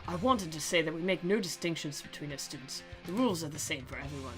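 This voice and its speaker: A woman's voice: sits low, expressive, fast, over music. A woman voicing a stern old male school principal in his seventies, cloyingly polite and cold, in a visual novel. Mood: stern, formal.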